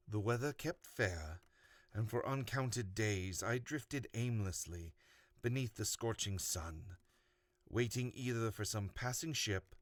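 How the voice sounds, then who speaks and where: Male horror narrator, lowish pitch, dramatic audiobook delivery, very dry close recording. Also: dry recording. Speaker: host and narrator of horror story readings.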